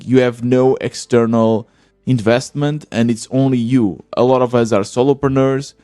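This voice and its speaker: A man's voice: medium pitch, darkish tone, dry recording. A man hosting his own podcast, talking earnestly and thinking aloud with small restarts and fillers. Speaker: podcast host. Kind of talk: solo podcast monologue.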